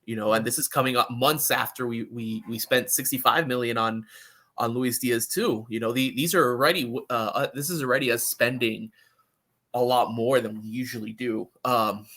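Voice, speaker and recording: A man's voice: medium pitch, fairly even intonation, moderate pace, bright, forceful tone. A man giving opinionated, conversational football analysis in a casual podcast chat. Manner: opinionated, conversational, often checks in with "you know".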